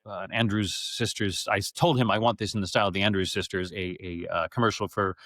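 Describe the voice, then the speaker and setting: A man speaking as an interview guest about his theater work, in casual, animated, effusive storytelling. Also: on the low side, fast, dry recording, clean studio sound. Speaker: interview guest, theater actor and radio-theater adapter.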